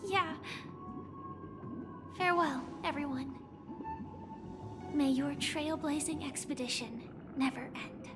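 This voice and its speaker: An actress voicing a gentle, resolute young female warrior in a video game, soft, breathy and solemn. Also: sits high, slow, long pauses. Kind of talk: dramatic game voice acting. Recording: loud music over the voice. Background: loud game music.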